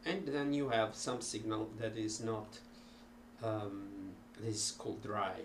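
A middle-aged man with an Italian accent, talking casually to a webcam about learning languages, slow and full of ums and restarts. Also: lowish voice, slight background noise. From Italy. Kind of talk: casual talk to camera. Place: cluttered home music room.

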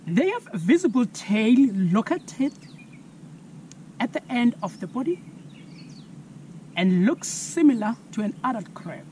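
A South African man in his thirties teaches children slowly and clearly, his pitch rising and falling in a lively way. He pauses to ask listeners to spell new words. He is recorded outdoors on a camera mic, with faint background noise. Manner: slow, clear, asks viewers to spell words. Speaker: environmental educator. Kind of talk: children's science lesson. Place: outdoors on a lawn.

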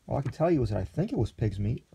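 A man reading aloud and voicing a sly elderly cook who speaks slowly and insinuatingly. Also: medium pitch, sing-song, creaky, dark tone, a little hiss or hum. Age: older.

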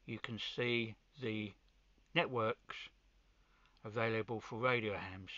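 A man giving an informal hands-on video demonstration, pausing between short phrases, with muffled sound. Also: medium pitch, pushed and bright, no echo. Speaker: amateur radio hobbyist presenter.

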